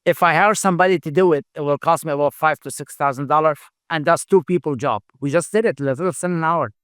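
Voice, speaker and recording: A middle-aged man, a company founder, speaking English as a second language in a halting interview answer style, full of fillers and repeated words. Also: fairly high pitch, fairly bright tone, clear, resonant tone, dry recording, clean studio sound. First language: not English. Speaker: founder and CEO, podcast guest.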